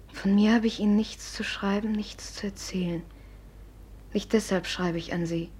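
An actress in a German radio play, playing a proud, sharp-tempered young upper-class woman in a theatrical manner. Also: medium pitch, a little hiss or hum, close to an everyday voice.

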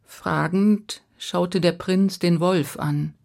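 A woman narrating a fairy tale for children in a rhythmic, expressive way. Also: fairly low pitch, very slow, gravelly. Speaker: storyteller.

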